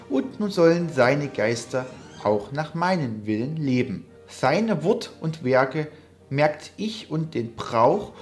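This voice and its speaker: A woman giving a measured, expressive and dramatic recitation of a classic poem. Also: very deep voice. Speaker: poetry reader.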